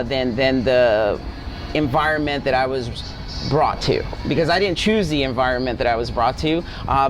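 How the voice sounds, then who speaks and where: A forty-year-old man from Guatemala telling a personal story earnestly as an interview guest, often checking for agreement. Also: medium pitch. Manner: earnest, seeks agreement with tag questions. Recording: outdoor traffic noise. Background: street traffic and vehicles.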